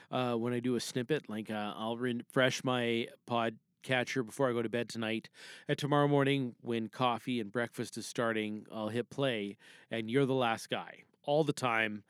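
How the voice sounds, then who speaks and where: A man's voice: medium pitch, bright, forceful tone, no echo, clean studio sound. A man podcasting solo in a casual, rambling, self-deprecating way. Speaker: podcast host.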